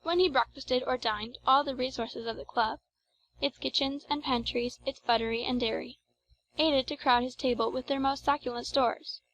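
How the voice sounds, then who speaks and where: A woman reading scripted literary narration as an audiobook narrator, in a measured, formal storytelling manner. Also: high voice, fairly even intonation, fairly bright tone, low-quality recording.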